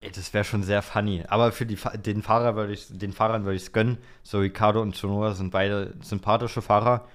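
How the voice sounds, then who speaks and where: A man's voice: lowish voice, little pitch movement, brisk, few pauses. A young man co-hosting a podcast, chatting informally with youthful slang. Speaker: podcast co-host. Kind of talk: casual podcast chat.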